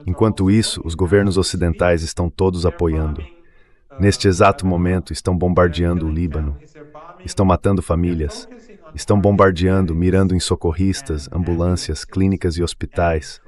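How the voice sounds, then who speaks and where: A man with a very low-pitched voice speaks as the guest in a political interview. It is a synthetic translated voice-over. The delivery is earnest and emphatic, stacking repeated phrases to make each point. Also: fairly even intonation, normal speed, darkish tone. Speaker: interview guest, commentator and professor. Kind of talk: AI-read translated dub of political commentary.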